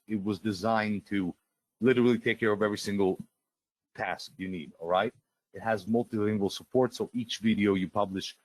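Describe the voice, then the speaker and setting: A middle-aged man whose first language is Russian presents software on a live sales webinar, walking through features in an eager pitch full of fillers and restarts. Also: no room sound, rough recording. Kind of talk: live sales webinar, screen-share walkthrough.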